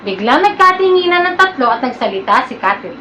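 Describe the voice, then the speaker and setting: A woman's voice: high voice, very animated, fast talker, pushed and bright, a little hiss or hum. A young female narrator reading a school puppet show story carefully and announcing the cast. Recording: echoey room with faint music.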